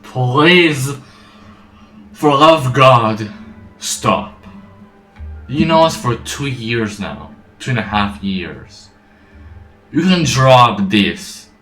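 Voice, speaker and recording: A man's voice: mid-range voice, animated, extremely slow, pauses often, fairly bright tone. A man giving casual game playthrough commentary in dry, muttered asides. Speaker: game streamer. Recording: distant roomy mic, faint game music.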